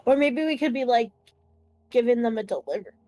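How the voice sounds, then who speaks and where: A woman's voice: on the high side, fairly quick, background noise. A woman chatting casually and playfully as a player in a tabletop game.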